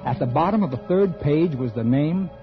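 A man's voice: on the high side, animated, normal speed, music in the background, close to an everyday voice. Male actor for scheming American writer-narrator, articulate and dramatic, wide-ranging delivery, muffled 1940s radio sound. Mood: reflective, suspenseful.

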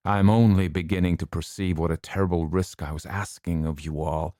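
Man reading as a stern old-school doctor, level and firm, deep dark voice, dry. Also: steady tone, fairly quick, no room sound, lightly acted. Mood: urgent. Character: stern, dignified older male doctor.

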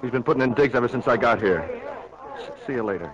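An actor playing an earnest, apologetic young boyfriend in 1940s radio drama style. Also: male voice, medium pitch, expressive, fast talker, crowd noise, natural voice. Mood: hurt, apologetic. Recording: muffled 1940s radio broadcast.

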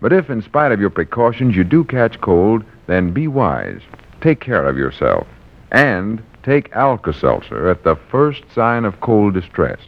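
A man working as a radio announcer, delivering a measured, friendly sponsor message in 1940s style. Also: fairly low pitch, big pitch swings, vocal fry. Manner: friendly direct address, measured. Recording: muffled vintage radio recording.

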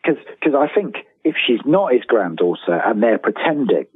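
A man speaking as an interview guest in casual talk, haltingly rephrasing and repeating words as he thinks aloud. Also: mid-range voice, sing-song, breathy edge. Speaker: guest author and lifelong fan. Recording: tinny, roomy telephone-like line.

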